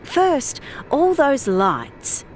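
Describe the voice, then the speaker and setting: Woman acting out a giddy older woman, high-pitched gushing. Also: very slow, a little hiss or hum, full character voice. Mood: giddy, thrilled.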